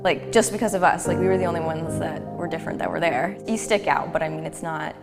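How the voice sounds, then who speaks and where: A woman's voice: deep voice, fast talker. A young woman student telling a casual story, saying "like" often. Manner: casual, many likes. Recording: loud music bed.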